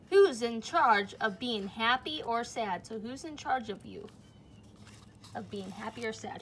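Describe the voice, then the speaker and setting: A woman's voice: sits high, fairly bright tone, a little hiss or hum. A woman reading a children's storybook aloud like a teacher, with simple explanations and questions for young listeners.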